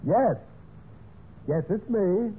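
A man's voice: sits high, lively intonation, drawn-out words, breathy edge, exaggerated voice. An actor playing a scheming, nervously evasive husband who narrates his murder plot in an old radio mystery. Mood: startled. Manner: hesitant, stalling with uhs when speaking to others. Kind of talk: 1940s-50s radio mystery acting with first-person narration. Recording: tinny band-limited vintage radio recording, music.

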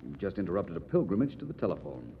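An actor playing a suave, composed detective who asks polite, probing questions in a 1940s radio drama. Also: male voice, low voice, big pitch swings, fast talker. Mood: composed, pensive. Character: suave, composed male amateur detective, thoughtful and urbane. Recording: reverberant, muffled, faintly hissy 1940s radio recording.

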